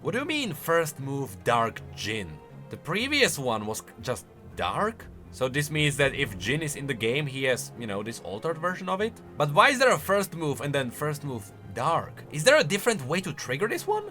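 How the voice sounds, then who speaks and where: A man's voice: a little high, big pitch swings, moderate pace, bright, forceful tone, faint music behind. A man hosting a game lore channel with casual reaction commentary, musing aloud and leaning hard on key words.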